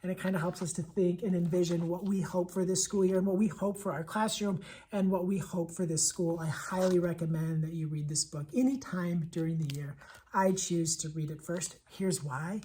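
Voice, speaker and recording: A woman's voice: on the low side, normal speed, dark tone, a little hiss or hum. A woman who teaches elementary school, giving casual, enthusiastic and emphatic book recommendations, repeating phrases for effect. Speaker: elementary school teacher reviewing picture books.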